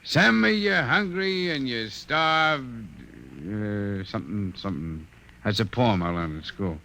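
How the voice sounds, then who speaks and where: Casting: boastful American small businessman, folksy and emphatic, bright forceful voice, big swings, radio drama. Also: fairly low pitch, expressive, a little slow, slight background noise, exaggerated voice. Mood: wistful. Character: brash, boastful small-time hot dog stand owner. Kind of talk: old radio drama acting.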